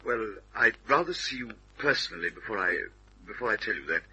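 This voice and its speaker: An actor playing a nervous, evasive English gentleman who stammers politely, in an old-time radio detective drama. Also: on the low side, animated, fairly quick, breathy edge, heavily acted, rough recording. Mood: anxious, evasive. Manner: hesitant, stammering, courteous.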